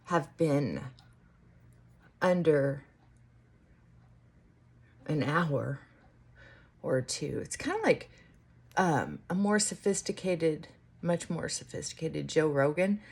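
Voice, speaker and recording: Slow-paced woman chatting casually. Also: fairly low pitch, a little hiss or hum. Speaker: vlogger. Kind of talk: casual rambling chit-chat to camera.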